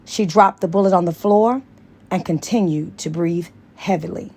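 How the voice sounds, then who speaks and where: A woman's voice: lowish voice, moderate pace, darkish tone, husky. A woman reading a story aloud as scripted audiobook narration. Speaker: audiobook reader.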